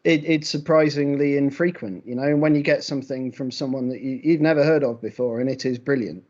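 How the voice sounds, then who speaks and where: A man's voice: mid-range voice, fairly quick, a little mellow, highs cut off. A man, a poetry magazine co-editor, speaking wryly on a panel with frequent stammering restarts. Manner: wry, self-deprecating, stammering restarts. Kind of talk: panel discussion, casual.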